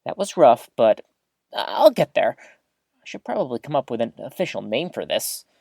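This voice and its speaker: Animated, awkward small-town radio host played by an actor. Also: mid-range voice, normal speed, no echo, studio quality. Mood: awkward, earnest. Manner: awkward, animated, throat-clearing, chatty. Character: rambling, easily flustered small-town radio host Alex. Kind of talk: audio drama monologue as a live radio broadcast.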